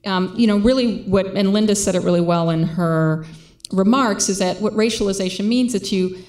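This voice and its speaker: A woman moderating a public conversation with a handheld mic, measured, with frequent fillers. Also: medium pitch, darkish tone. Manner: measured, reads quotes aloud, many fillers. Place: lecture hall with audience.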